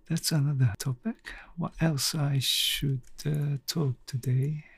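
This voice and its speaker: A man speaks slowly in a casual solo podcast style. His voice has a noticeable creak, and the sound is close and dry. He feels his way through sentences haltingly, as if thinking aloud. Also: on the high side, steady tone, no room sound. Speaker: podcast host. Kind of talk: casual unscripted solo talk.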